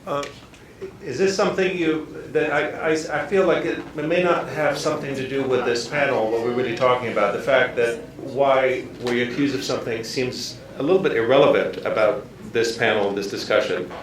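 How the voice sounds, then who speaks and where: A man's voice: medium pitch, fairly even intonation, normal speed. Echoey, distant male moderator. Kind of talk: live panel moderation. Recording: echoey room, distant mic, crowd behind.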